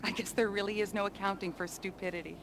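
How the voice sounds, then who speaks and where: An actress playing a scornful, hard-bitten intelligence boss in a video game, sardonic and condescending. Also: female voice, medium pitch, steady tone, fast talker, noisy background, natural voice. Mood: contemptuous. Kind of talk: video game cutscene acting.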